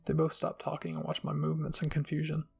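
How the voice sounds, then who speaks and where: Male story narrator and host, slightly high, raspy, light music underneath. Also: completely flat delivery, fast talker, a little mellow. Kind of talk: scripted first-person story narration.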